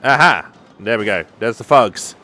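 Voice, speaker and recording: Man giving animated gaming commentary. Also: mid-range voice, moderate pace. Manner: thinks aloud, reacting to the game. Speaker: gaming video host. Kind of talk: casual game playthrough commentary. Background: game music.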